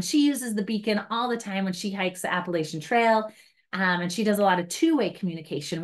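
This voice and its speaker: A woman trainer walking guides through a device step by step in an informal, chatty way, with light self-mocking asides. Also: mid-range voice. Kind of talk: informal hands-on device tutorial.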